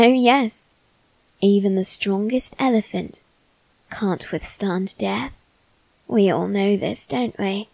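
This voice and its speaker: A woman voicing a condescending immortal demon boy in an ASMR roleplay, speaking softly with a teasing, smug manner. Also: medium pitch, dry recording. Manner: soft-spoken, teasing and condescending.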